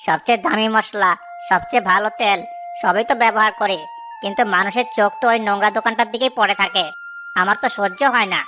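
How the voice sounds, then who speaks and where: A woman's voice: medium pitch, fairly even intonation, quick pace, over music, close to an everyday voice. A woman voicing a village samosa seller in a cartoon story. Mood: jealous, bitter. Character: village samosa sellers, one humble, one jealous.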